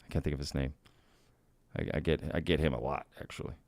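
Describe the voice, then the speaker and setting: A man's voice: rumbling low, fairly even intonation, quick pace, a little mellow, dry recording. A male live stream host in a casual Q&A, talking in a laid-back, folksy way, often saying y'all and reading out viewer comments. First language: bilingual Spanish and English.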